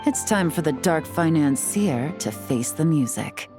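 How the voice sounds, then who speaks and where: A cool female spymaster with a very low voice. She speaks with composed, wry authority in game dialogue, over clearly audible music. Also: lively intonation, unhurried. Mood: confident. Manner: composed, smooth, wry, in command. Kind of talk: video game voice acting.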